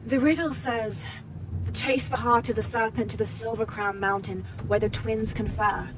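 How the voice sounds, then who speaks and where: A woman's voice: steady tone, normal speed, close to an everyday voice. An actress playing a determined young British woman adventurer in a video game cutscene, earnest and intent. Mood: focused, thoughtful. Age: young adult. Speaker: lead adventurer character. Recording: music and vehicle rumble behind. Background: music, aircraft cabin rumble.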